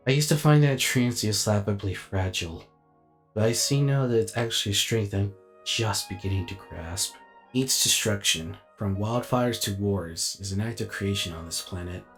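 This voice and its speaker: A man playing a weary, reflective alien robot warrior in a dramatic inner monologue, speaking deliberately and formally. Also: on the low side, soft background music, lightly acted. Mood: awed, reflective.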